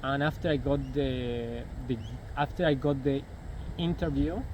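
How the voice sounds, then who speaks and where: A man's voice: medium pitch, measured pace, a little mellow, a little hiss or hum, low-quality recording. A young man from Argentina whose first language is Spanish, speaking English with an Argentinian accent and answering interview questions hesitantly, often repeating words.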